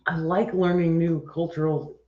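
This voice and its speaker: A man with an Appalachian accent co-hosting a livestream, playful and quick to laugh. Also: on the high side, little pitch movement, warm tone. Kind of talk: casual livestream chat. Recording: echoey room, dull lo-fi sound.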